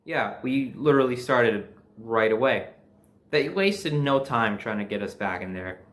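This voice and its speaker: A young man with an American accent and a medium-pitched voice. He tells a personal story in a casual, conversational way. He leans on certain words for emphasis, like someone recounting events to friends. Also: expressive, measured pace, fairly bright tone. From New Jersey, United States. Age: young adult. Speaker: storyteller, host.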